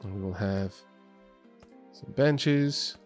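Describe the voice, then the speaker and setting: A man's voice: on the low side, extremely slow, soft background music. A young man with a Welsh accent, casually thinking aloud as he streams a building game. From Wales. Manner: chatty, thinking aloud while building, small restarts. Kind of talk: casual gameplay commentary. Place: home streaming setup in Scotland.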